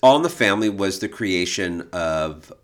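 Male podcast co-host, notably low pitch, knowledgeable conversational explainer with a stop-and-start delivery. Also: animated, normal speed. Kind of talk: conversational explanation of art and television history.

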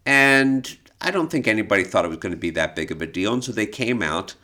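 A man's voice: lowish voice, lively intonation, brisk, bright, forceful tone. A man co-hosting a podcast, telling stories in a casual, conversational way. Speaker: podcast co-host and storyteller.

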